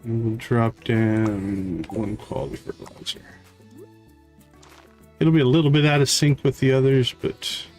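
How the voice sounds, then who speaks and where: A man with a medium-pitched voice hosts a casual game stream at an unhurried pace. He thinks aloud, talking himself through each step as he goes. Faint music plays behind his voice. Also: fairly even intonation, a little mellow. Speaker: live game streamer, host. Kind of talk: casual live gameplay commentary, thinking aloud.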